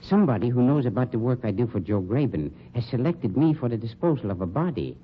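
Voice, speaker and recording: An actor playing a suave, menacing funeral director turned sleuth, with an American accent and formal, pompous, insinuating speech in old-time radio drama style. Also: male voice, mid-range voice, big pitch swings, fast, husky. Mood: grimly determined. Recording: dull, noisy old radio recording.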